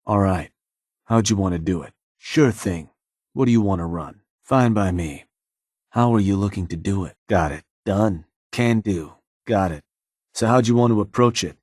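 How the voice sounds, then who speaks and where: Game role: a laconic raider lieutenant, very low husky voice, dry studio sound. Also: male voice, a little mellow, no echo, exaggerated voice. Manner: terse, businesslike, dry. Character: gruff male raider lieutenant, laconic, world-weary. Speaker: game character. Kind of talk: video game voice lines.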